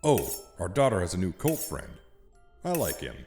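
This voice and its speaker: A man's voice: low voice, sing-song, moderate pace, creaky, darkish tone, soft background music, heavily acted. A man playing a genial middle-aged father pony in a horror story, affable and chatty with hidden menace. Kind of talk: character acting in an audio horror story.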